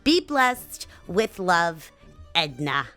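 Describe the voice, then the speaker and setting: A woman's voice: on the low side, very animated, drawn-out words, loud music behind the voice, exaggerated voice. A woman playing a chatty, gossipy New mother in her sixties who brags about her son and drifts off on tangents. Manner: kvetching, name-dropping, tangents. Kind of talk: comedic sketch monologue.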